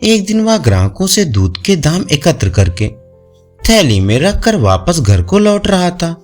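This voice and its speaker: A man's voice: a little high, sing-song, a little slow, a little mellow. A man narrating moral folk tales as a scripted storyteller. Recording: music under the voice. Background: faint music.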